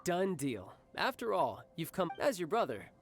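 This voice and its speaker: Young warrior big brother type, cocky and playful, voice swings a lot, light game music under it. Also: male voice, high-pitched, sing-song, moderate pace. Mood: indulgent, playful. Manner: teasing, grandly polite. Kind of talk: theatrical video game voice acting.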